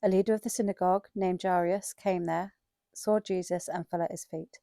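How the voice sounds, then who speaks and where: A woman's voice: fairly low pitch, fairly even intonation, brisk, gravelly, dark tone, no echo, clean studio sound. A woman giving a scripted narration of a dramatized Bible reading.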